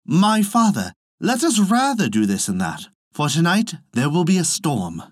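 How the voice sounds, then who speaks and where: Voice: medium pitch, sing-song, unhurried, dry recording, clean studio sound, pitched above the performer's own voice. A storyteller voicing an earnest young boy, son of a god, with formal storybook phrasing. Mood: confident. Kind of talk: storybook reading.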